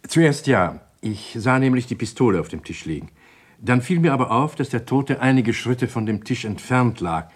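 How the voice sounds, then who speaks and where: An actor playing an uneasy, guarded doctor in a German radio crime drama, speaking haltingly and evasively. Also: male voice, medium pitch, lively intonation, slightly rough. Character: uneasy male doctor, guarded jealous husband.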